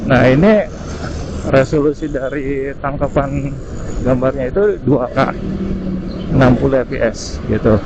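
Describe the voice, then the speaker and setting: Dull intercom recording, clear vehicle noise, man, medium pitch, casual vlog review, hesitant phrasing. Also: steady tone, unhurried, pauses often. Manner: hesitant, searching for words, frequent tag words. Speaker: vlogger reviewing gear. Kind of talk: casual unscripted review chat. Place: outdoors on the road at night. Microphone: helmet intercom microphone. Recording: muffled intercom mic with road noise. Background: vehicle and street noise.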